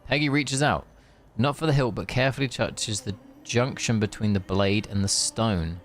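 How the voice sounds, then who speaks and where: A man doing casual live game-stream commentary, joking, swearing and haltingly reading story text aloud. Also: lowish voice, faint music behind.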